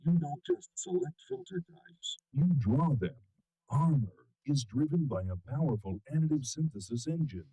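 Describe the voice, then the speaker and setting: A man reading a scripted product demo, his voice processed through a vocoder with pitch-snapped, synthetic distortion. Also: wildly swooping pitch, normal speed, long pauses, dark tone, breathy edge. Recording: voice warped through synth, musical tones.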